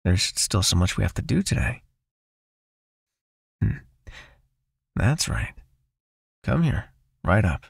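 Guy acting a possessive vampire hypnotist for asmr, husky low voice, soothing n teasing, softly bossy. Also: sing-song, slow, pauses often, dry recording, clean studio sound, full character voice. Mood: tender, teasing. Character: seductive, possessive male vampire hypnotist, calm and doting. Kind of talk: intimate ASMR hypnosis roleplay.

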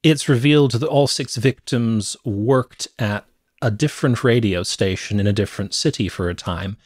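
A middle-aged man co-hosting a movie podcast, explaining his opinions in a measured, conversational way into a close microphone. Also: medium pitch, no room sound. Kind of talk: conversational film review. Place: small cluttered home room. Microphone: close podcast microphone, headset.

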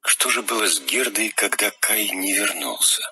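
A man narrating a children's fairy tale in a husky, expressive storytelling voice. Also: on the low side, moderate pace, heavy background noise.

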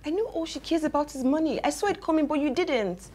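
A woman playing a caring young friend who softly comforts a heartbroken man. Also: high voice, expressive, fast talker. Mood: consoling. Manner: soft, soothing, partly whispered. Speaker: consoling friend.

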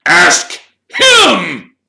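A man's voice: high-pitched, wildly swooping pitch, extremely slow, gravelly, bright, forceful tone, reverberant, pitched above the performer's own voice, full character voice. A man voicing a hysterical, spiteful male caretaker in a storybook reading, choking and shrieking his accusations. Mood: furious, accusing. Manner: shrieking, spitting, choked accusations.

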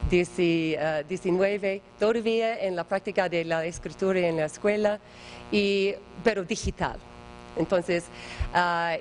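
A woman's voice: on the low side, a little hiss or hum, rough recording. A woman giving an academic talk in an auditorium, speaking hesitant non-native Spanish full of fillers and restarts. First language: English. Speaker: guest lecturer. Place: large auditorium. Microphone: panel table microphone, far camera.

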